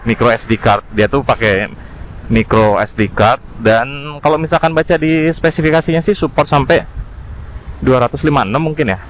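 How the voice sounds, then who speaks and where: A man talks casually, as a motovlogger does, at a medium pitch, dropping in English terms. The sound is a dull helmet intercom recording, with faint traffic noise behind him as he rides outdoors. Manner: informal, mixes in English terms. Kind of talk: casual product review vlog while riding. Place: outdoors, riding a scooter in city traffic. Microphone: helmet intercom mic. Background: faint traffic and engine noise.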